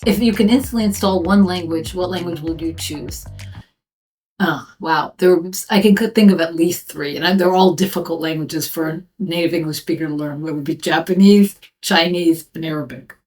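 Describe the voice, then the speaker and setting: A woman's voice: fairly low pitch, moderate pace, a little mellow, recorded from a distance. A woman in her fifties, a professor, answering interview questions thoughtfully with frequent ums and restarts. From United States. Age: 50s.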